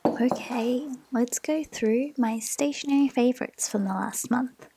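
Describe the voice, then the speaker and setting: An Australian woman talking through her planner pages in a gentle, often half-whispered way. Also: on the high side, a little slow, noisy background. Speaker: planner vlogger. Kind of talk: soft-spoken planner flip-through. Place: home desk.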